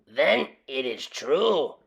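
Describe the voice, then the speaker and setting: A storybook reader playing the gruff leader of a Chihuahua bandit band, growling and solemn. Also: mid-range voice, big pitch swings, extremely slow, exaggerated voice.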